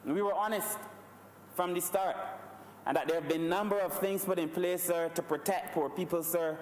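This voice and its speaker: A man's voice: sits high, steady tone, moderate pace, a little hiss or hum. A Barbadian man giving a rhetorical parliamentary speech, repeatedly addressing the Speaker as sir. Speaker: member of parliament. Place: large parliament chamber. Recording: echoey chamber, distant mic.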